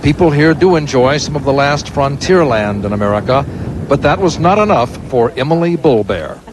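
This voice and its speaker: A man reporting from the field as a news correspondent in a steady, clear reporting voice. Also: mid-range voice, normal speed, noisy background.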